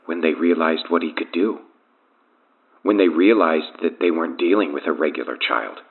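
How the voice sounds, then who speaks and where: An actor playing a powerful, coolly arrogant cult leader in an audio drama, with measured, taunting delivery and a deep, gravelly voice on a phone line. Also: male voice, full character voice. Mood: grave, reverent. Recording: thin narrow phone line.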